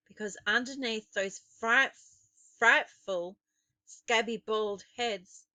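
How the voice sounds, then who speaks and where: A woman narrating a children's story expressively, with dramatic, deliberate phrasing. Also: a little high, sharp tone, no echo.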